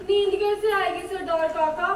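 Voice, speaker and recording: A woman's voice: very high-pitched, fairly even intonation, normal speed, slight background noise. An actress in a Hindi stage play, playing an eager, emotional young woman who pleads insistently with theatrical projection. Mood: eager, pleading. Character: eager, emotional young woman searching for her late mother's story. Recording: distant echoey auditorium recording with loud music.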